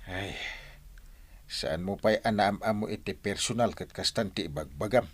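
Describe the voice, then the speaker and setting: A man's voice: on the low side, moderate pace, husky, no echo. A man playing an exasperated, chiding village husband in a radio comedy drama, with an Ilocano accent.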